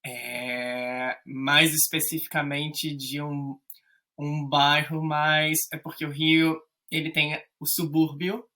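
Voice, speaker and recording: A man from Rio de Janeiro with a Carioca accent, chatting as a podcast guest in a relaxed, rambling way with frequent fillers. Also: a little high. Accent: Carioca Brazilian Portuguese. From Rio de Janeiro, Brazil. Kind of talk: casual conversation.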